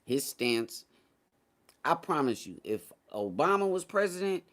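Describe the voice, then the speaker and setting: A man's voice: fairly high pitch, very animated, unhurried, fairly bright tone. Blunt, slangy American man chatting casually. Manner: blunt, opinionated, profane, slangy. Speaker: morning show host. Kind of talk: casual chat.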